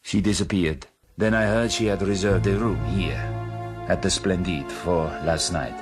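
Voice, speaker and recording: A solemn, anguished crime-boss father in a radio drama. The voice sits very low and moves in measured, formal phrases. Loud orchestral music runs beneath it. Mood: grieving.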